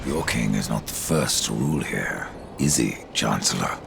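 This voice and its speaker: A grim horseman of death in a fantasy game. The voice is extremely low and full of gravel, terse and threatening. Its dry sarcasm comes through in lively turns of pitch. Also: moderate pace, over music, heavily acted. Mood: stern, curt. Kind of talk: scripted fantasy game acting.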